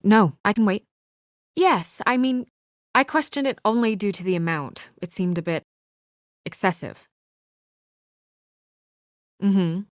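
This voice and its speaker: An actress playing a brisk, guarded young female office assistant in a noir video game drama, speaking in a crisp, businesslike, dry manner. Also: mid-range voice, dry recording, close to an everyday voice. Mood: professional, polite.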